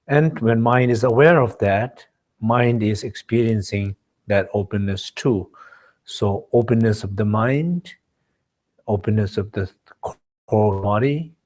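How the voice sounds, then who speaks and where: A man leads a guided meditation as a teacher, speaking in measured short phrases with pauses between them. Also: on the low side, a little mellow. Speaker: meditation teacher leading a retreat.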